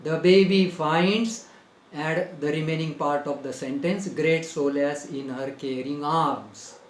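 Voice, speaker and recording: A man's voice: fairly high pitch, fairly even intonation, takes it slowly, echoey room, slight background noise, low-quality recording. A man with an Indian English accent teaching a grammar lesson. He repeats phrases for dictation and spells words out letter by letter.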